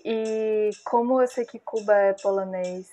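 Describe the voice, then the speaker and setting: Calm Brazilian woman explaining her track. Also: mid-range voice, a little hiss or hum, poor audio. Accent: Brazilian Portuguese. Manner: calm, measured, unhurried. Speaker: music producer. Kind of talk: casual explainer of a music project. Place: small room indoors. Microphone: earphone mic.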